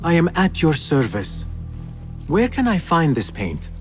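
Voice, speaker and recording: An actor playing a smooth-talking young male infiltrator in a game cutscene, courtly and measured, with a teasing, probing manner. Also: a little high, huge pitch swings, faint music behind. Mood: smooth, coaxing. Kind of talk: video game cutscene acting.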